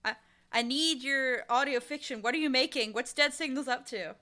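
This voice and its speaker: A woman speaking as an interview guest, casual and enthusiastic, with an animated, emphatic way of talking about creative work. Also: high voice, normal speed. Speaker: interview guest, audio drama creator and showrunner.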